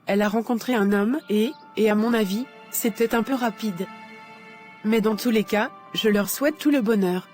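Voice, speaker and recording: A woman's voice: medium pitch, moderate pace, a little mellow, soft background music, natural voice. A woman voicing a frank young cartoon friend. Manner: direct, sympathetic.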